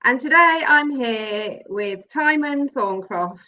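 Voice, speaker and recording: A woman's voice: high-pitched, lively intonation, takes it slowly, pushed and bright, lo-fi sound. A woman hosting an interview show, chatty and encouraging, often laughing. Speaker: podcast host. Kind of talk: casual interview.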